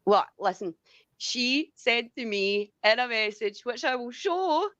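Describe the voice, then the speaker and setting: A woman's voice: a little high, expressive, measured pace, fairly bright tone, dry recording, muffled recording. A Scottish woman venting in a casual, blunt, rambling way with plenty of swearing. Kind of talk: casual heated venting chat.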